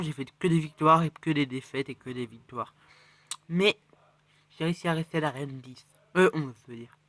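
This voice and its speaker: Man with a cold rambling over gameplay. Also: on the high side. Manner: rambling, excitable, self-correcting, drops to mutters. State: has a cold. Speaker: mobile game channel host. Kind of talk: casual gameplay commentary.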